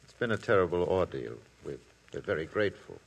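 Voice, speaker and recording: An actor playing a worried, stiffly polite husband and politician, in 1950s radio drama style. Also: male voice, low-pitched, animated, brisk, creaky, breathy edge, full character voice, low-quality recording.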